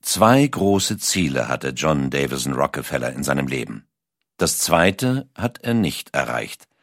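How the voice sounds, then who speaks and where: Deep-voiced male documentary narrator. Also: very animated, measured pace, dry recording, clean studio sound. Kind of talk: scripted narration.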